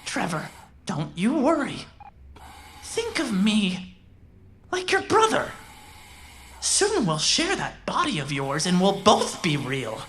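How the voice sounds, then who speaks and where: A woman's voice: mid-range voice, huge pitch swings, takes it slowly, long pauses, fairly bright tone, a little hiss or hum, heavily acted. A woman playing a bitter, possessive digital mind trapped without a body, brooding and taunting in a cyberpunk audio drama. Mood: taunting, fervent.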